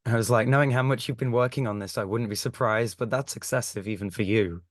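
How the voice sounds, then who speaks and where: A man co-hosting a podcast with a croaky voice from recent illness, chatting in a wry, thoughtful way. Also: medium pitch, fast, no echo, studio quality. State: croaky voice after a chest infection. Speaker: podcast co-host. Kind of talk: casual podcast chat.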